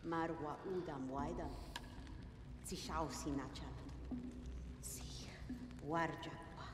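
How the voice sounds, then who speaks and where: An actress voicing a grave, watchful prehistoric tribeswoman in a video game cutscene, speaking slowly and deliberately in an invented tongue. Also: low-pitched, steady tone, noisy background. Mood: solemn. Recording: echoey cave with game ambience.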